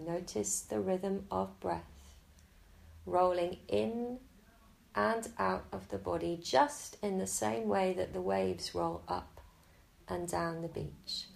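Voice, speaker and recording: A woman guiding a Yoga Nidra relaxation in a slow, soft and soothing way. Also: mid-range voice, creaky, poor audio. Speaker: meditation guide.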